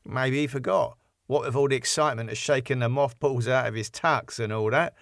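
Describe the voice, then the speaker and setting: A man voicing a breezy, cheeky, quick-thinking lad who bluffs in colloquial speech. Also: medium pitch, steady tone, no room sound, studio quality, natural voice.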